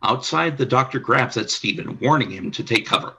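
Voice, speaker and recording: Narrator for audio drama: man, slightly low, raspy edge, bright forceful delivery of scripted action. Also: animated, fairly quick. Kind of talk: scripted radio play narration.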